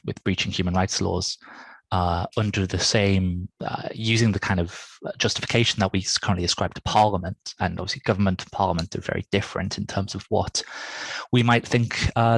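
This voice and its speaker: Man in his 30s, very deep and gravelly, halting and repetitive, dry headset sound. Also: dry recording. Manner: halting, repeats words, self-effacing. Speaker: legal academic, panel speaker. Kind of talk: academic webinar presentation. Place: home study.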